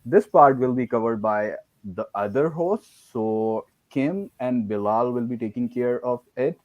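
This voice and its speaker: A man's voice: medium pitch, a little slow, darkish tone, dry recording. A man with a Pakistani English accent hosting an online tech event on a video call, formal and polite. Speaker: event host. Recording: dull lo-fi sound.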